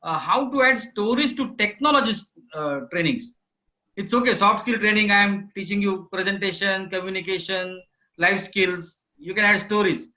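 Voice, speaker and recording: A man's voice: high-pitched, normal speed, sharp tone. A man hosting an interview, asking conversational questions. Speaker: interviewer, host. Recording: dull, lo-fi online audio.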